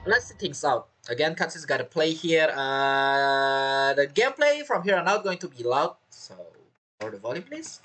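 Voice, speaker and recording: Casual woman gamer, very animated pitch. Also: normal speed, soft background music. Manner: rambling, talking to herself and to viewers. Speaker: gameplay streamer. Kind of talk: casual game commentary.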